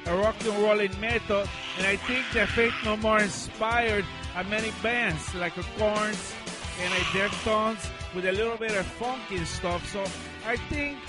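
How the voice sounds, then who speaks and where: A man's voice: high-pitched, measured pace. A man, rambling goofily and mock-singing in a comic radio bit. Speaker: radio show regular. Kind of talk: comic parody of a song over music. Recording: loud music behind the voice.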